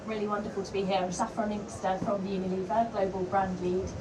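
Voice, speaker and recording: A woman in the audience asks the panel a polite question, pausing on small "um" fillers. Her voice is mid-pitched and comes from a distance. Event noise sits behind her. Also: flat delivery. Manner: polite, warm, hesitant fillers. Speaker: audience member asking a question.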